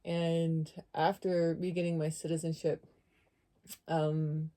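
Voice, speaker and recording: A woman's voice: low-pitched, level tone, slow, warm tone. A woman co-hosting a podcast, sharing personal recollections in casual conversation. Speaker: podcast co-host.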